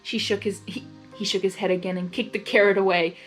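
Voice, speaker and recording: A woman's voice: mid-range voice, steady tone, a little fast. A woman reading a story aloud expressively, with casual, informal asides in a giggly manner. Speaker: fan fiction reader. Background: music.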